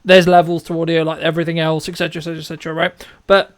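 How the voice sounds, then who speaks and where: Male interview host, high voice, casual, rambling and repetitive delivery. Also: little pitch movement, rapid-fire. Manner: rambling, repetitive, checks in with "right?".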